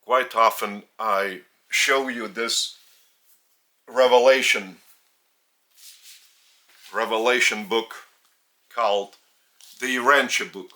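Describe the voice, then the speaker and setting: A man with a Lithuanian accent, a native Lithuanian speaker, giving a spiritual teaching in a deliberate, halting way with emphasis on key words. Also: mid-range voice, fairly even intonation, sharp tone. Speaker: spiritual teacher and religious leader. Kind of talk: spiritual sermon addressed to camera. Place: indoors.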